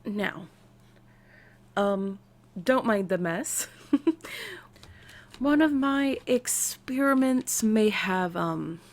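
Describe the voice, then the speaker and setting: A woman with an American accent voicing an eccentric, excitable woman scientist playing therapist in an ASMR roleplay, with quirky, halting delivery. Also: mid-range voice. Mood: quirky, welcoming. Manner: quirky, halting, drawn-out delivery with sudden swoops. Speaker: roleplay voice performer. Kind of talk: ASMR character roleplay, speaking to the listener.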